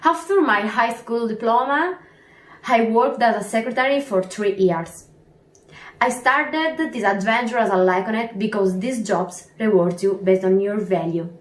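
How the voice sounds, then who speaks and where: A woman in her early twenties with an Italian accent, giving an earnest testimonial in slightly halting English. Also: mid-range voice, echoey room. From northern Italy. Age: early 20s. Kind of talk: testimonial video.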